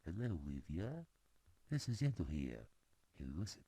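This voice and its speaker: A man voicing a gruff, food-stealing male forest creature in an amateur cartoon, explaining things slowly. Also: sits low, big pitch swings, dark tone, dry recording. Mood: matter-of-fact.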